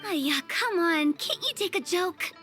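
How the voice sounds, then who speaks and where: A mischievous young woman with an extremely high, chirpy voice. She teases with theatrical flourish in anime game style, music playing softly behind. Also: lively intonation, a little slow, full character voice. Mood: playful. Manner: teasing, chirpy, grandly wordy. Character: playful, mischievous, theatrical young female funeral director. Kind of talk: anime video game voice acting.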